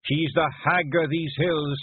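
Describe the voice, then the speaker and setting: A man voicing a tiny, brooding, stubborn clan chief with a gruff Scottish accent, in a storybook reading. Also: fairly high pitch, fairly even intonation, unhurried, dry recording, narrow, tinny audio, voice pushed up. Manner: gruff, emphatic.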